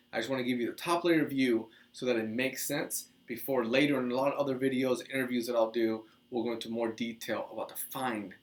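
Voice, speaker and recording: A man in his thirties, from the Dominican Republic, hosting a casual teaching video, talking with lively hand gestures in a small office. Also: mid-range voice. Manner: animated, gestures a lot, chatty with restarts. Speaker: host. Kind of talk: casual teaching monologue to camera. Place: small office with couch, indoors.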